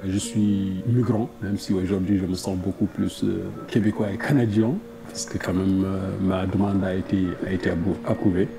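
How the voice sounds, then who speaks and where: A man's voice: low-pitched, little pitch movement, moderate pace, few pauses, over music, rough recording. A man from Mauritania speaking earnestly and frankly in an interview as a migrant advocate. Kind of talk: spontaneous interview testimony.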